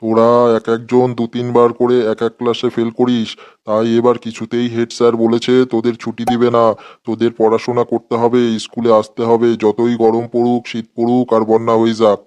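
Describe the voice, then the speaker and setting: A man's voice: mid-range voice, completely flat delivery, a little fast, a little mellow, clear, resonant tone, highs cut off, natural voice. A man voicing a sarcastic, exasperated schoolteacher scolding pupils in a comedy cartoon. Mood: stern.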